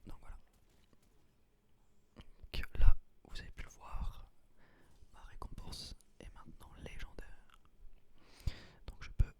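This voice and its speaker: A man whispering a gameplay commentary in ASMR style, explaining things in an unhurried, hesitant way. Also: fairly bright tone. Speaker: gaming video creator.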